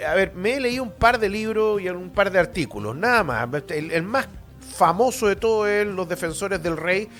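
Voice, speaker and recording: High-pitched, blunt Chilean man on radio. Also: expressive, moderate pace, fairly bright tone, soft background music. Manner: opinionated, blunt, colloquial, with rhetorical questions and tag questions. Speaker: radio history show host, academic. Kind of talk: informal history lecture on radio.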